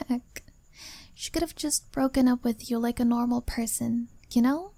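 A woman voicing a shy young woman who confesses her feelings to a younger friend, speaking softly and often in a whisper. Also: fairly high pitch, steady tone, measured pace, no echo. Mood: annoyed. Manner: soft, hesitant, often whispered. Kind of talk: ASMR-style roleplay monologue.

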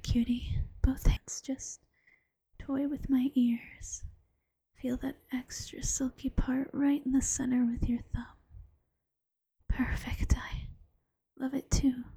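A woman voicing an affectionate, teasing bunny-girl girlfriend in a slow, coaxing ASMR whisper. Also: high-pitched, little pitch movement, warm tone, husky, no room sound, heavily acted. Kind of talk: ASMR roleplay.

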